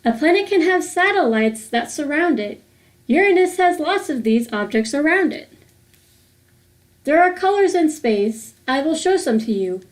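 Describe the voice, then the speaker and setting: A woman reading a rhyming picture book aloud for children in a deliberate, sing-song way. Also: high voice, animated. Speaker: host of a children's read-aloud series.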